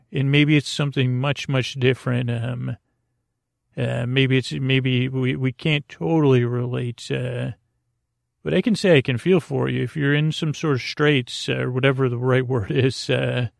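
A man with a gravelly, creaky voice hosts a bedtime podcast. He rambles hesitantly and reassuringly, with a gently silly streak. The sound is close-miked and dry, with almost no room around it. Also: medium pitch, dry recording. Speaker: podcast host. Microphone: close to the microphone.

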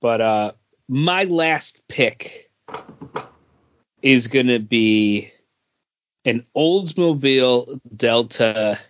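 A man's voice: medium pitch, lively intonation, extremely slow, long pauses, a bit bright, no room sound. Man chatting hesitantly, dry and muffled sound. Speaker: podcast co-host. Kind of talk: casual pop culture chat.

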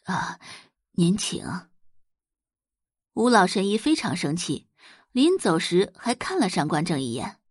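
A woman's voice: fairly low pitch, big pitch swings, moderate pace, husky, no echo, clean studio sound. A woman reading dramatic scripted narration as an audiobook narrator.

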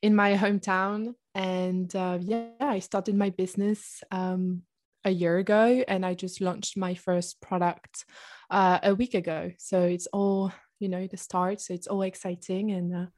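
Young French-accented woman with even pitch. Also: unhurried. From France. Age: 20s. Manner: informal, many hesitant fillers and "like". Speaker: podcast guest, young entrepreneur. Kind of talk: casual interview chat.

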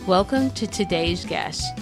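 A woman's voice: on the low side, animated, unhurried, over music. A woman podcast host giving a rehearsed show opening. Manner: smooth, rehearsed.

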